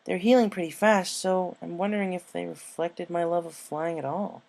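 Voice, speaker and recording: A man's voice: sits high, steady tone, normal speed, vocal fry, darkish tone, voice pushed up. A man voicing a carefree, cheerful young female pegasus pony in a storybook reading, with a cocky, playful manner. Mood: upbeat, thoughtful. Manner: breezy, playful.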